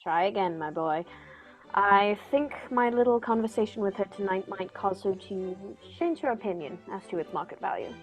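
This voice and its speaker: A woman playing a courteous, shrewd gentleman detective in a formal, measured radio-play manner. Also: mid-range voice, soft background music, close to an everyday voice, rough recording. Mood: knowing. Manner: polite, measured, formal, knowing. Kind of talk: 1940s radio play acting.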